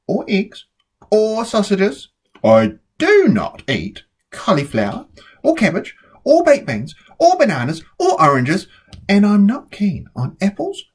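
A woman's voice: medium pitch, husky, full character voice. Woman as a stubborn little girl, wildly swooping. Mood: stubborn, defiant. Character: little girl Lola, stubborn fussy eater. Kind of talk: storybook character voice.

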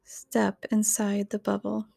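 A woman reading a scripted guided meditation in a soothing, gentle, measured manner. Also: medium pitch, monotone, gravelly, dry recording, studio quality.

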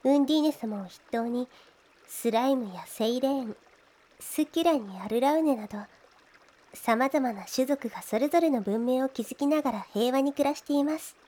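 A woman voicing a gentle, devoted slime woman in an ASMR fantasy audio drama, speaking politely and a little shyly. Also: high-pitched, moderate pace. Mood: calm, reassuring. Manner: soft, polite, deferential, shyly hesitant. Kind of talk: Japanese ASMR situation voice drama.

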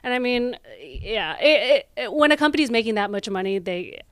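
A woman's voice: on the high side, lively intonation, normal speed, clean tone, no echo, studio quality. A woman co-hosting a tabletop gaming news show, giving casual, informal commentary in a conversational way. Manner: informal, peppered with "like".

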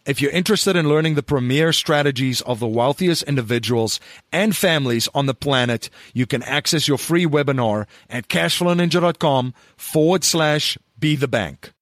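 A man's voice: a little high, moderate pace, fairly bright tone, no echo. A man from Africa hosting an interview podcast, asking long questions and often repeating words as he goes. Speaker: podcast host.

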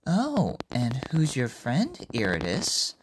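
A woman's voice: a bass voice, wildly swooping pitch, slow pace, background noise, full character voice. A woman voicing a friendly, plain-spoken female bank clerk in a story, with a flirty undertone. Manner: plain-spoken, at times sultry.

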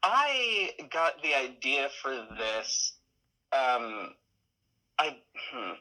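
A man chatting casually as an interview guest, halting often as he thinks aloud. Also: mid-range voice, sing-song, sharp tone, low-quality recording. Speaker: interview guest, audio drama creator.

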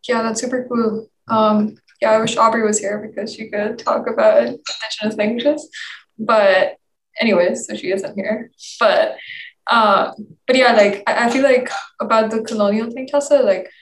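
A woman's voice: fairly high pitch, fairly even intonation, moderate pace. A young woman leading a casual group discussion, talking in a chatty way and saying "like" a lot. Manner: chatty, heavy use of "like". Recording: echoey room, distant mic, faint noise.

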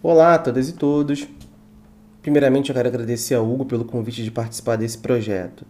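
A man's voice: mid-range voice, fairly even intonation, fairly quick, a little mellow. A young man from Brazil, a guest on a podcast, speaking earnestly and a little formally with some hesitations. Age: young adult. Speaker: guest, student event organizer. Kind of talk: casual podcast interview.

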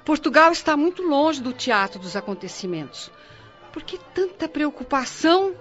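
A woman's voice: high voice, very animated, moderate pace, a bit bright, faint music behind. An actress playing a confident woman who brushes off her friend's worries with teasing reassurance, in a radio play. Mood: breezy. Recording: dull lo-fi radio play audio.

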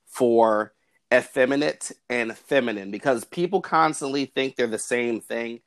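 A man hosting a podcast, explaining ideas in a measured way and chatting with his guest. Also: medium pitch. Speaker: podcast host. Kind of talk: podcast conversation.

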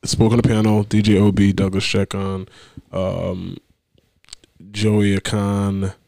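A man's voice: fairly low pitch, level tone, takes it slowly, creaky, dark tone, no echo. A man co-hosting a podcast in casual chat, laid-back and rambling, getting sidetracked. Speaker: podcast co-host.

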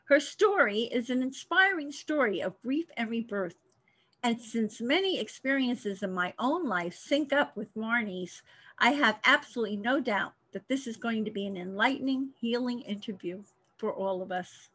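A woman hosting a podcast, reading a measured scripted intro and then interviewing a guest from her studio. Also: on the high side, animated, a bit bright. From United States. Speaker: podcast host. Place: home studio.

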